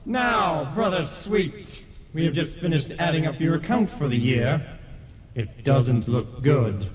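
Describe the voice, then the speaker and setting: An actor playing a stern, pompous ghost who presides as judge, declaiming officiously in a radio play. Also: male voice, on the high side, lively intonation, unhurried, a loud crowd. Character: stern, pompous male ghost chairman of a tribunal. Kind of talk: old-time radio play acting. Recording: echoey long reverb, faint tape noise.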